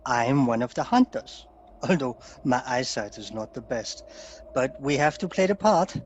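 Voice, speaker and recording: An actor playing a wry, genial hunter from a magical painting in an unhurried, theatrical audio play style. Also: male voice, medium pitch, expressive, dull audio, a little hiss or hum, close to an everyday voice. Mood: knowing, genial.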